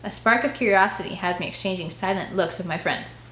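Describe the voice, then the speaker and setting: A woman narrating an audiobook in an expressive, first-person read-aloud style. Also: mid-range voice, brisk, a little hiss or hum. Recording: echoey, roomy sound.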